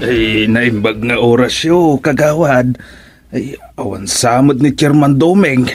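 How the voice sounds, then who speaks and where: A man's voice: mid-range voice, slow, soft background music, close to an everyday voice. A Filipino man playing a flustered, henpecked village councilman in a radio comedy, stammering and making excuses. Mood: nervous, apologetic. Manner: stammering, hesitant, exclamatory. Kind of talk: comedic radio drama acting.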